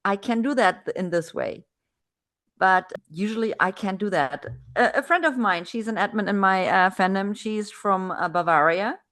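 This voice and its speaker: A woman from Germany with a German accent, hosting a relaxed video-call interview in English and talking in a chatty way with many restarts. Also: medium pitch, moderate pace. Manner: chatty, frequent restarts and fillers. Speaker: podcast host.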